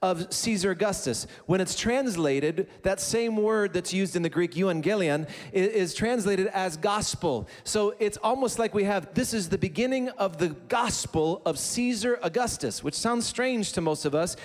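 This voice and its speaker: A male pastor delivers a sermon in a relaxed, conversational way, slipping in casual asides and light humor. His voice sits a bit high for a man. The sound carries the long reverb of a church hall. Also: normal speed, hardly any pauses. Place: church sanctuary.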